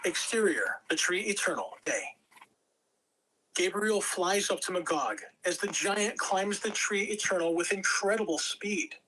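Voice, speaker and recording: A man's voice: sits high, animated, unhurried, pushed and bright, poor audio. A man reading stage directions as the narrator at a table read of a fantasy script.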